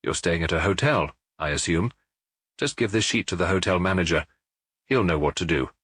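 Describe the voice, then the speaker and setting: Man doing a polite calm doctor, husky voice, dry close sound. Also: sits low, no echo. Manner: measured, polite, reassuring. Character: courteous male spa doctor, calm and methodical.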